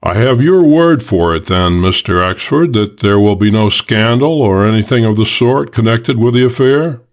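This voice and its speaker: A man playing a careful, matter-of-fact private detective with a measured manner in an audiobook. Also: lowish voice, animated. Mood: businesslike. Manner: businesslike, measured. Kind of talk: audiobook character acting.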